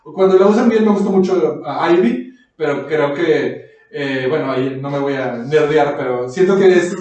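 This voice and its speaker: A man's voice: a little high, normal speed, a little mellow, muffled recording. A man with a Mexican accent chatting casually as a podcast co-host, rambling and slangy. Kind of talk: casual chat reviewing fiction. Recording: very echoey room, distant mic.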